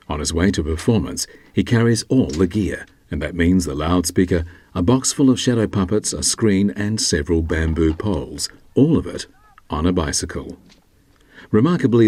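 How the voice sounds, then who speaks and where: A man reading scripted documentary narration in a measured, formal manner. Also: low-pitched, a little mellow.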